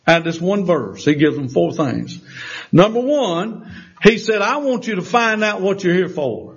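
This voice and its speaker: A man's voice: sits high, expressive, normal speed, vocal fry, husky. A man in his sixties preaching a folksy sermon in a church, with emphatic rises and call-and-response. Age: 60s. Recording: dull lo-fi sermon recording.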